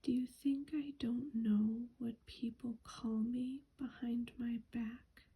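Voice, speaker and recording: A woman voicing the gloomy ghost of a teenage girl in a slow, breathy whisper, sulky and weepy. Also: fairly high pitch, steady tone, warm tone, heavily acted. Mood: tearful, bitter.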